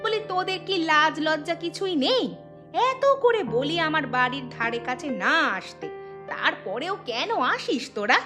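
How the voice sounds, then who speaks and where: A woman plays a mean, scornful cartoon auntie with a high-pitched voice. She nags and scolds sharply, like a sour mother next door. Music plays behind her words. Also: expressive, normal speed. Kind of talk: cartoon story dialogue.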